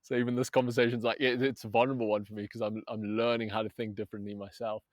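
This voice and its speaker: A man's voice: fairly low pitch, little pitch movement, fast talker, no echo, clean studio sound. A man from Zambia, a movement coach, talking earnestly in a casual podcast conversation, with many restarts.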